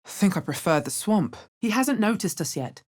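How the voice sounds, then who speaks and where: A woman playing a wary, dry female fantasy adventurer in a video game, with a bassy, husky voice and a measured, understated delivery. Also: big pitch swings, a little mellow. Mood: sober, wary. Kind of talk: video game character voice lines.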